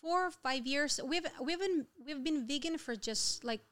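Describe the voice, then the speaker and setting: A woman from Italy, a singer-songwriter chatting as a podcast guest, bubbly and quick to laugh, often restarting her sentences. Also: high-pitched, a bit bright. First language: Italian. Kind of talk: casual podcast chat.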